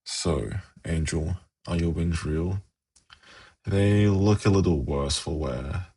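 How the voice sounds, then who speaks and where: A man playing a suave, haughty demon prince in an ASMR fantasy roleplay, speaking in a measured, formal, silkily condescending way with a gravelly, husky voice. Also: deep voice, dark tone, exaggerated voice. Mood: soft, coaxing.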